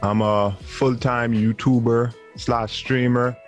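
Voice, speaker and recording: A man's voice: mid-range voice, flat delivery, slow, soft background music. A man in his twenties with a Bahamian accent, talking earnestly as a guest on a video-call interview. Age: 20s. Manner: earnest, laughs easily. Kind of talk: casual interview chat.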